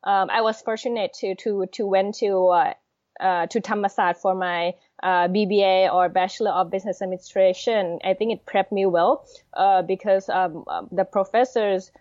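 A woman in her thirties from Thailand with a Thai accent, answering interview questions hesitantly with many pauses and fillers. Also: medium pitch, steady tone, a little mellow, dry recording. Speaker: podcast guest. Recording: dull lo-fi sound.

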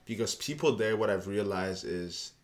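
Young man from canada, lowish voice, rambling casual chat, podcast guest. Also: moderate pace. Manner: loose, rambling, leans on "you know what I mean".

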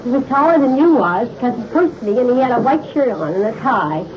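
A woman's voice: fairly high pitch, expressive, fairly quick. A middle-aged woman, nervous and shaken, giving a spontaneous, colloquial, run-on eyewitness account of a break-in. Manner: colloquial, nonstandard grammar, run-on. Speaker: witness of a break-in. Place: indoors in a house. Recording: muffled, noisy old field recording.

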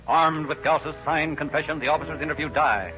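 A man's voice: on the high side, brisk, music in the background. An American man announcing and narrating in a scripted 1930s radio style. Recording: noisy, muffled old radio recording.

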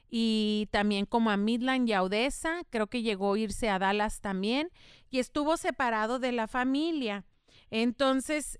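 A middle-aged woman speaks in a fairly high voice that comes through very clear and crisp. She explains things earnestly, like a podcast host teaching her listeners, with a faith-tinged tone and the odd spoken filler. The sound is dry and close, with no room around it. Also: dry recording. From United States. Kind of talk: earnest informative monologue.

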